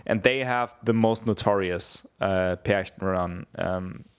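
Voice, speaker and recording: A man's voice: low voice, slow pace, clear, resonant tone. A young man from Bavaria, chatting casually, rambling with lots of swearing and fillers. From Germany (southeast Bavaria). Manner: rambling, swears a lot, many fillers and restarts. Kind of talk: casual chat.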